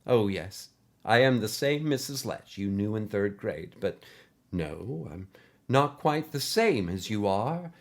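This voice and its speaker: A man's voice: lowish voice, lively intonation. Man voicing a composed, stern older schoolteacher woman. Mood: calm. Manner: deliberate, composed, ominous.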